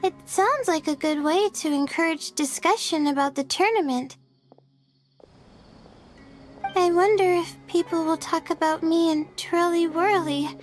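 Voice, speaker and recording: Earnest, high-voiced young scholar. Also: female voice, moderate pace, hardly any pauses. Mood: thoughtful. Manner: earnest, explanatory. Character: earnest, weary young female scholar, gentle and studious. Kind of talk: English video game voice acting. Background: music.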